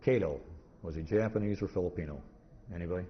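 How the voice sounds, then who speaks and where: A low-pitched man presents an informal history lecture in a live room. He rambles and stops and starts, restarting his sentences. The recording is slightly noisy and dull, with the top end missing. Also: fast talker. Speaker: convention presenter, radio historian. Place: indoor convention talk room.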